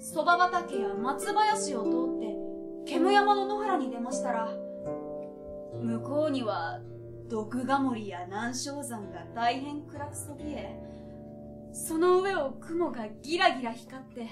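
High-voiced young woman storyteller, roomy and distant. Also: expressive. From Tokyo, Japan. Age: 20s. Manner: theatrical, deliberate narration. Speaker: stage storyteller. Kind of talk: expressive literary recitation. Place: small indoor stage. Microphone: far camera. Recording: roomy stage, distant mic, harp music. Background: plucked harp music.

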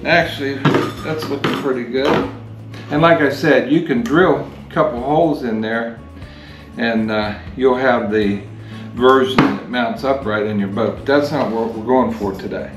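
A retired man in his sixties talks through a workshop project step by step, in a plain, unhurried voice at an ordinary middle pitch. His voice echoes around a garage, and guitar music plays clearly behind him. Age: 60s. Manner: plain, unhurried step-by-step explaining while working. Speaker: DIY workshop host. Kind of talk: hands-on how-to demonstration.

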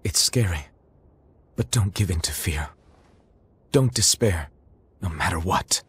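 Calm protective mentor in a video game, deep raspy breathy voice, gentle and serious. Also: male voice, unhurried, exaggerated voice. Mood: reassuring, solemn. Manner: gentle, solemn reassurance. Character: calm, protective adult male swordsman mentor. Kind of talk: dramatic video game acting.

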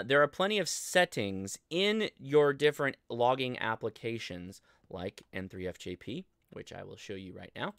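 An American man in his thirties talking through a casual livestream tutorial, conversational and halting as he thinks aloud. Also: mid-range voice, a bit bright, dry recording, studio quality. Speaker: livestream host and ham radio instructor. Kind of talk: casual live tutorial with chat replies. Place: indoor home studio desk, livestream.

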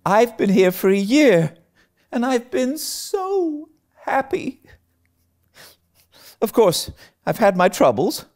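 A man's voice: very high voice, big pitch swings, slow pace, long pauses, pitched above the performer's own voice, heavily acted. A man voicing an eager, imaginative young girl in a storybook, breathless, pleading and melodramatic. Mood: happy, dreamy. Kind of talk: storybook character reading.

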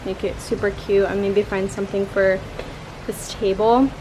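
A young woman in her twenties chatting casually as she vlogs about decorating her apartment, thinking out loud with lots of filler words. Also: medium pitch, little pitch movement, normal speed, background noise. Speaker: lifestyle vlogger. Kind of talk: casual vlog chat to camera. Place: apartment balcony, car and kitchen.

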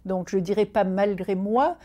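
A woman from Algeria whose mother tongue is Arabic talks through her thoughts in an indoor interview. Her voice is soft and dark in tone, and she picks each phrase slowly, pausing and starting over as she goes. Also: mid-range voice. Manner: thoughtful, measured, many pauses and restarts. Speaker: writer interviewed. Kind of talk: reflective interview talk. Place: indoor room by a window. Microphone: unseen, seated interview framing.

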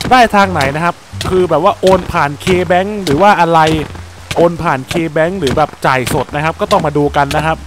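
Excitable high-voiced young man gaming. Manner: excitable, exclaims often, jokey. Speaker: Minecraft gameplay YouTuber. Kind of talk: playful gameplay commentary.

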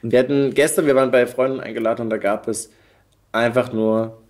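A man's voice: mid-range voice, steady tone, brisk, darkish tone. A man in a casual podcast chat, talking in an informal, chatty, colloquial way as the host.

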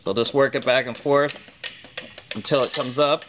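A middle-aged man talks through his work in a big garage workshop, calm and matter-of-fact. His voice sits in an ordinary middle register, picked up by a camera mic close by. He explains each step plainly as he goes. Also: medium pitch, moderate pace, over music. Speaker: host. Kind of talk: hands-on workshop demonstration. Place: large garage workshop, indoors.

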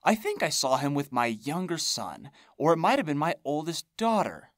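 A man's voice: fairly high pitch, big pitch swings, normal speed, no echo, studio quality, voice pushed up. A man voicing a rotting, ghoulish woman who rambles in a folksy, whiny, needling way. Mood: rambling.

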